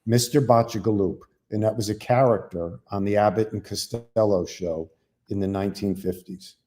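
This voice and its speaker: Mellow-voiced older man, halting chat. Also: fairly low pitch, fairly even intonation. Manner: halting, repeats words, chuckles. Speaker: comedian guest. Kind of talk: casual chat.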